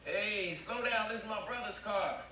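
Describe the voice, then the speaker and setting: A man plays an agitated teen younger brother at a table read. His voice is high and bright, recorded in a reverberant room with faint hiss. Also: moderate pace. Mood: excited. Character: agitated teenage boy, someone's worried younger brother. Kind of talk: live table read acting. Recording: echoey, hissy video call.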